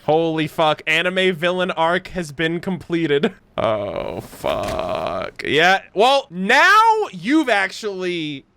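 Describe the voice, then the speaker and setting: A man giving casual live reactions to a game, excitable, slangy and full of swearing. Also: sits high, slow pace, creaky, hardly any pauses, smooth. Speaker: gaming streamer.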